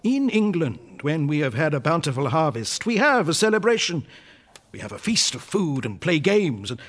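A man's voice: a little high, big pitch swings, normal speed, a bit bright. An actor playing a gracious, devout Pilgrim governor in a radio drama, genial and stately in his formal speech. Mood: genial, pleased. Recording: faint music behind. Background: faint music.

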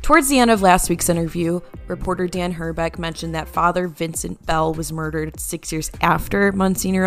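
A woman's voice: lowish voice, vocal fry. A woman hosting a true crime podcast, introducing stories and interviewing guests.

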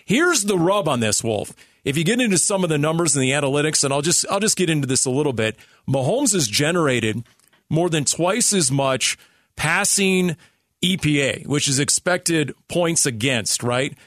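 A man's voice: fairly high pitch, animated, moderate pace, fairly bright tone, no room sound. Male sports radio co-host, dry studio sound. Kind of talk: sports analysis talk.

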